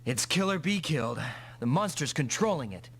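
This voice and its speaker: An actor playing a calm, determined male mech pilot in an anime-style English video game dub. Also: fairly high pitch, normal speed, slight background noise. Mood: tense, angry.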